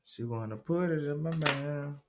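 A man's voice: fairly high pitch, moderate pace, very noisy. A man chatting casually, brash and crude, always joking around. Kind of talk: casual chat.

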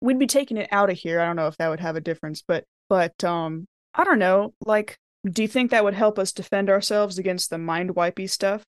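A woman's voice: medium pitch, brisk, few pauses, clean tone, dry recording. Chatty woman at a game table, dry sound. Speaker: tabletop roleplaying game player. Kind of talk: casual game table chat.

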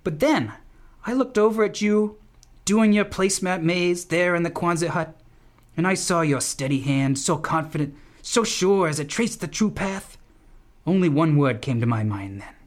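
Man playing an excitable, theatrical washed-up bandleader. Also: fairly high pitch, normal speed, natural voice. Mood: sentimental. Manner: melodramatic, exclamatory, flowery wording. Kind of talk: comic radio play acting.

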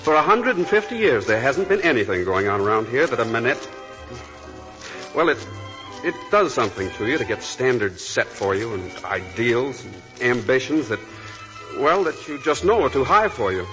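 A man's voice: medium pitch, very animated, normal speed, lightly acted, rough recording. An actor playing a restless, self-mocking young man with a glib, teasing way of talking, in a 1940s radio drama. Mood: bitter, self-mocking. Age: young adult. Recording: vintage 1940s radio broadcast, music behind.

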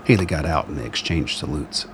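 A man with a gravelly voice reading scripted dramatic narration in a measured, brooding storytelling manner. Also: very deep voice, expressive, very noisy.

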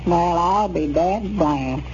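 An actor playing an excitable old country storekeeper with a rural Arkansas accent, folksy and eager, in a 1930s radio comedy. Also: male voice, high voice, slow. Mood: indignant, insistent. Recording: muffled, noisy 1930s radio recording.

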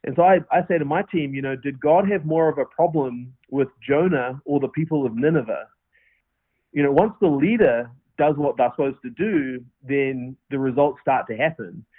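A man's voice: mid-range voice, moderate pace, breathy edge, no echo. A man with a New Zealand accent, a podcast guest answering earnestly with many fillers and restarts. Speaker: podcast guest, company founder. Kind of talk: casual interview answers. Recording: tinny phone-line audio.

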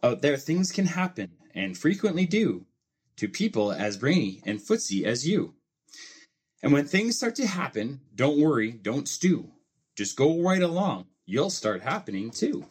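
A man with a slightly rough, husky voice reads a children's storybook aloud. His pitch rises and falls freely, and he phrases the verse in a measured way with expressive emphasis. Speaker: host reading a children's book aloud.

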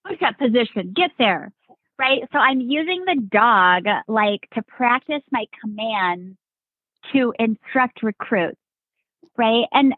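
A woman's voice: medium pitch, no echo. A woman, a Navy veteran guest on a podcast, telling stories in a rambling casual way, full of "like" and "right?". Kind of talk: casual storytelling chat. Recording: tinny phone-like remote line.

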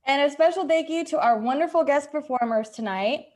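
A woman's voice: high-pitched, brisk, a little mellow. A woman speaking casually as the host and director of a podcast show. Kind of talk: casual, informal show hosting.

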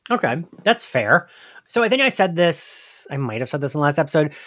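A man's voice: a little high, big pitch swings, brisk, pushed and bright, no echo. Dry, close-sounding male podcast host. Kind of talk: casual podcast hosting.

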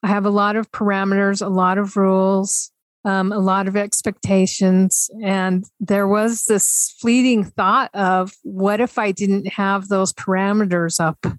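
A woman's voice: medium pitch, flat delivery, measured pace, dry recording. A woman author and speaker, a guest on a podcast, talking candidly and thoughtfully about her family and her own rules. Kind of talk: reflective conversation.

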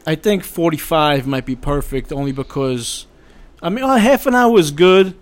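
A man guesting on a podcast, talking casually and haltingly, often repeating words. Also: fairly high pitch, animated. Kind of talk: casual chat.

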